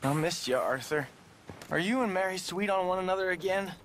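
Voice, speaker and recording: A man's voice: high voice, level tone, quick pace, fairly bright tone, a little hiss or hum. An actor playing a naive, wounded young American man, a defiant runaway in a Western video game. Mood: subdued, ashamed. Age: young adult. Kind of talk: dramatic video game acting.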